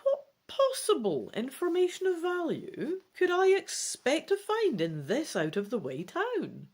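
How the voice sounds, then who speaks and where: A woman's voice: high-pitched, huge pitch swings, unhurried, a little mellow, pitched above the performer's own voice, full character voice. A woman voicing a sulky, complaining young male apprentice wizard in a dramatic fantasy gamebook reading. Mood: indignant, sceptical. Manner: petulant, complaining.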